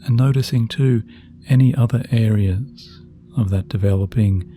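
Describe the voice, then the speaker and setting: A man narrating a sleep meditation in a soft, gentle, soothing voice with slow, measured phrasing. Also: medium pitch. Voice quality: soft, very dark and mellow. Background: relaxing background music.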